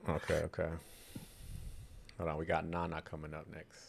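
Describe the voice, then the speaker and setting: A man hosting a live debate podcast in a relaxed, chuckling manner, jumping in with quick remarks. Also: a bass voice, gravelly, slight background noise. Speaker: podcast host. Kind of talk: casual live debate chat.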